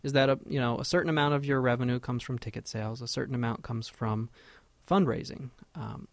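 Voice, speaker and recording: A man at a middle pitch hosts a radio interview, asking friendly, conversational questions. He explains background for listeners before turning a question to his guest. The voice sits close to the mic in a dead, dry studio. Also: fairly even intonation, fairly quick, dry recording.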